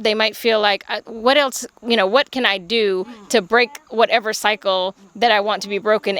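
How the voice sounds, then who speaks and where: A woman's voice: mid-range voice, a bit bright. A woman explains earnestly what the speaker means for people who feel trapped. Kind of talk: commentary on an interview.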